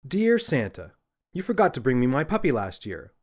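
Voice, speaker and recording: A man's voice: medium pitch, wildly swooping pitch, moderate pace. A man reading as a naughty young boy, insistent and demanding, in a children's audiobook. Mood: indignant. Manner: indignant, bargaining, insistent. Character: cheeky, demanding, naughty little boy letter-writer.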